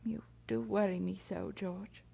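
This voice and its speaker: A middle-aged mother in an audio drama. She speaks very slowly in a mid-range voice, tender and deliberate, fussing fondly over her grown son. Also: mid-range voice, steady tone, creaky, over loud music. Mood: tender, worried.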